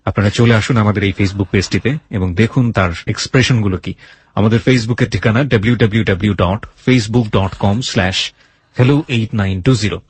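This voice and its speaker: A man hosts a radio show. His voice sits fairly low and has a husky grain. He addresses the audience directly, in a dry studio sound. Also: brisk, no echo. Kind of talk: radio hosting, addressing listeners.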